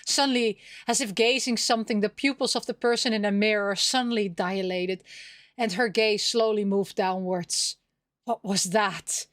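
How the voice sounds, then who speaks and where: A woman reading a visual novel aloud as a gameplay host, expressive and theatrical, with playful asides. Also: medium pitch, normal speed, dry recording, clean studio sound.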